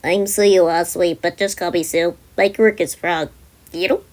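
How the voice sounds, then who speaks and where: A woman doing a slow, deadpan frog-girl. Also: on the low side, lightly acted. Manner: slow and flat. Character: calm, blunt frog-like teenage girl.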